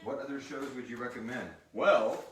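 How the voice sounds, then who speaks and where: A man's voice: mid-range voice, moderate pace, a little hiss or hum. A man hosting a casual live question-and-answer chat in a joking, informal way. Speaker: show creator and host reading out listener questions. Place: room with a group at a live stream. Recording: roomy echo, distant mic, crowd behind.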